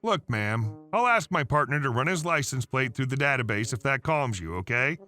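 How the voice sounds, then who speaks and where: A man's voice: mid-range voice, animated, moderate pace, sharp tone, pitched below the performer's own voice. A man reading a firm, patient police officer.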